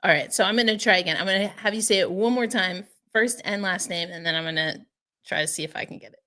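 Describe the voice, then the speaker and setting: A woman hosting a hockey podcast, lively and laughing as she asks her guest questions. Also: mid-range voice, fast talker. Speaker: podcast host. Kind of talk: podcast interview chat.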